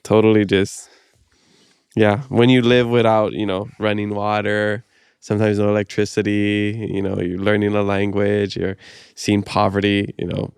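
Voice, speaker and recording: A man's voice: fairly low pitch, level tone, moderate pace, gravelly, a little mellow, clean tone, no echo, clean studio sound. A man from Hawaii, whose first language is Hawaiian, hosting a podcast in casual, rambling chat full of "like" and "you know.". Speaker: podcast host.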